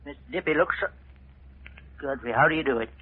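A drawling sidekick. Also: male voice, fairly high pitch, fast, slightly rough. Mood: folksy. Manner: drawling, homespun slang. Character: folksy country sidekick, simple-minded. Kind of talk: 1940s radio acting. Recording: lo-fi old radio broadcast.

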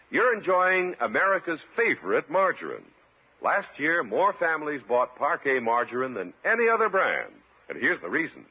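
A man announcing a 1950s radio show and reading the sponsor's copy with a polished, emphatic sales delivery. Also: a little high. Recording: muffled 1950s radio recording, faint hiss.

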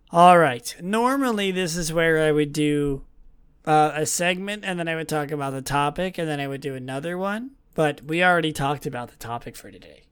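A man hosting a casual solo podcast in a rambling, self-deprecating way, his voice slightly raspy and gravelly from a long day of talking. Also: on the high side, a bit bright. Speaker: podcast host. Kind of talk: casual solo podcast chat about a video game franchise.

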